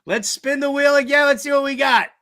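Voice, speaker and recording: High-pitched man hosting casual sports talk. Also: steady tone, brisk, heavy background noise. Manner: slangy, jokey, informal. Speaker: sports talk show host. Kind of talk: casual comedic banter and interviewing.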